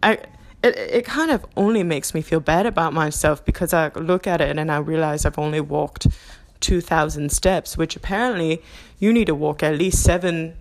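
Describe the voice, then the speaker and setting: Lady rambling away in a fake aussie accent that slips into english, kinda low voice, laughs at herself a lot. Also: moderate pace. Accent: put-on Australian, slipping into English. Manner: rambling, self-mocking, laughs often. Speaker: podcast host. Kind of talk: impromptu stream-of-consciousness monologue. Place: her apartment, indoors.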